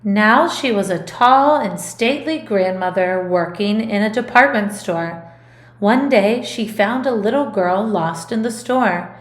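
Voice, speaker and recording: A woman music teacher reading a picture book aloud in an expressive, deliberate storytelling delivery. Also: mid-range voice, echoey room.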